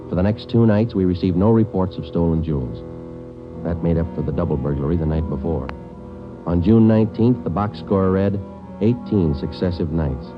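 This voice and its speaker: Radio crime drama lead for a male actor: terse American cop, deep matter-of-fact delivery, muffled vintage recording. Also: lightly acted. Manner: clipped, matter-of-fact, deadpan. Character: dedicated, terse male police detective sergeant, narrating his cases. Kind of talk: 1940s-50s radio drama acting and narration.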